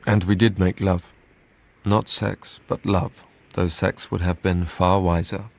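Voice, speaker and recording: A man's voice: deep voice, level tone, a little slow, gravelly. An actor playing a guarded, self-absorbed, conflicted young lover in a radio drama, hesitant and confessional as he narrates and talks. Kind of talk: radio drama acting with first-person narration.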